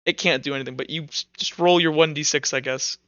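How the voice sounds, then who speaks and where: High, bright-voiced male game master. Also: steady tone, dry recording, highs cut off. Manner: halting, informal, thinking aloud. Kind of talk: casual improvised narration of a tabletop roleplaying game.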